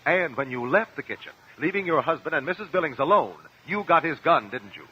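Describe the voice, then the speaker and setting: An actor playing a sharp, persistent defense lawyer in a vintage American radio drama, speaking formally and articulately. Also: male voice, fairly high pitch, lively intonation, a little fast, slightly rough. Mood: accusing. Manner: articulate, pressing, courtroom formality. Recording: dull vintage radio broadcast.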